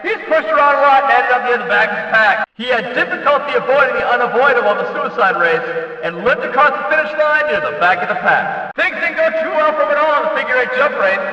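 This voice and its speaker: Excitable video game race announcer: very high, piercingly bright voice, emphatic showman delivery, booming through an echoing track PA. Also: quick pace, exaggerated voice. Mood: upbeat, informative. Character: excitable male race announcer at a small-town demolition track. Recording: echoing, distant, muffled PA-like sound.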